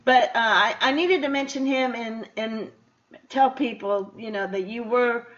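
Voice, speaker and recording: High-voiced woman hosting a radio interview. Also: unhurried, a bit bright. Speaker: radio show host, interviewer. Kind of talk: casual conversational interview. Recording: dull, lo-fi radio interview audio.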